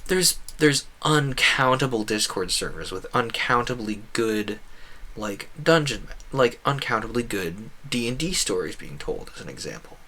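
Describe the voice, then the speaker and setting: A man's voice: medium pitch, sing-song, a little slow, pushed and bright. A man giving casual gameplay commentary as a streamer, thinking aloud in a wordy, meandering way. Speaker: game streamer.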